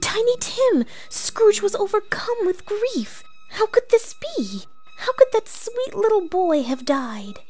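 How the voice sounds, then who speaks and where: A woman playing an excitable storyteller, narrating a storybook read-along with theatrical drama. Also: very high-pitched, takes it slowly, soft background music, pitched above the performer's own voice, exaggerated voice. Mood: grieving. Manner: theatrical, hushed then exclaiming.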